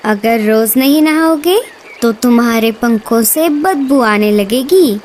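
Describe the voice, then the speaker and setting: A woman's voice: fairly high pitch, slow pace, a little mellow, slight background noise. A woman voicing Bulbul, a strict and greedy mother bird in a cartoon who charges for her pool. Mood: bossy.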